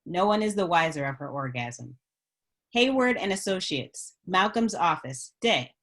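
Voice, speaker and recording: Woman narrator with a lowish voice. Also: expressive, measured pace. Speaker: stage direction reader at a script table read. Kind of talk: scripted narration.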